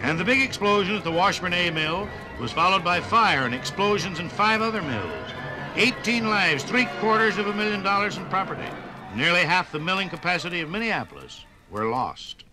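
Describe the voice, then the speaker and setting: Animated 1950s radio documentary narrator, male. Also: high voice, music in the background. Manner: emphatic, measured phrasing. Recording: 1950s radio broadcast on vinyl record.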